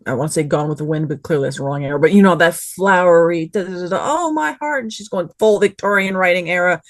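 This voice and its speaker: Animated podcast panelist, dry close sound. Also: medium pitch, fast, no echo. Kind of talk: casual review chat.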